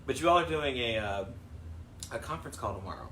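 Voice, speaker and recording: A woman's voice: a bass voice, lively intonation, quick pace, a bit bright, slight background noise. A woman co-hosting a casual improvised chat and reading out viewer questions.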